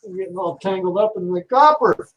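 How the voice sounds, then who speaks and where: A man's voice: high voice, animated, fairly quick, fairly bright tone, dull audio, a little hiss or hum. A man hosting a casual livestream, chatting easily with viewers while he works on scrap. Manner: easygoing, chatty, talks to viewers while working. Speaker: livestream host.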